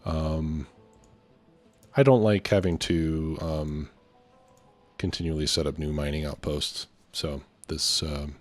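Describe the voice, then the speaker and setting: Deep voiced dude doing a chill lets play, kinda stuffed up from a cold, hesitant, thinks out loud. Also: male voice, fairly even intonation, takes it slowly, soft background music. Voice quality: slightly stuffy, congested nose. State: getting over a cold. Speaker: gaming video host. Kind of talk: casual let's play commentary.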